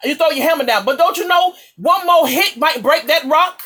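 A woman's voice: high voice, animated, moderate pace, fairly bright tone. A woman preacher giving an impassioned, exhorting charismatic sermon, repeating phrases for emphasis.

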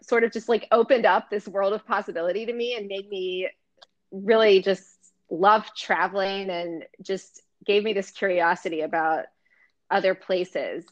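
A woman from Florida talks about her life in a relaxed, unhurried way. She hesitates often and laughs softly as she goes. Her voice sits in a middle pitch and comes through a slightly muffled, lo-fi remote call. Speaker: guest artist. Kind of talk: casual interview chat.

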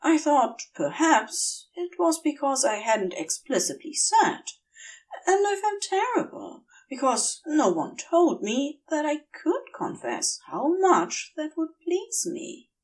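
A woman's voice: high voice, sing-song, takes it slowly, far from the mic, voice pushed up, heavily acted. A woman voicing a prim, dazed male angel in an audiobook, speaking with polite, rambling earnestness and longing. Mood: earnest longing.

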